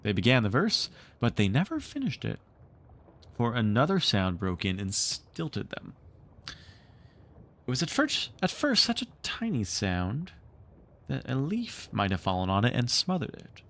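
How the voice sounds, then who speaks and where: Male storybook narrator, unhurried, expressive, lively swoops in intonation, mid-pitched. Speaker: streamer reading a story aloud.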